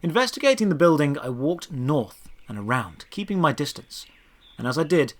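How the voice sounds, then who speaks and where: Man narrating fantasy adventure with very animated pitch. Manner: storytelling, deliberate dramatic phrasing. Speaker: narrator of a fantasy audio drama. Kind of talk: first-person scripted adventure narration.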